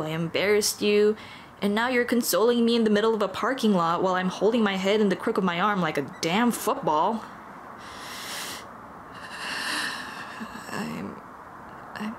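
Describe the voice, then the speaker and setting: A woman's voice: mid-range voice, slight background noise, lightly acted. A woman playing a nervous, apologetic young female dullahan in an intimate ASMR roleplay, speaking hesitantly with stammers and self-deprecation. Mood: ashamed, sad.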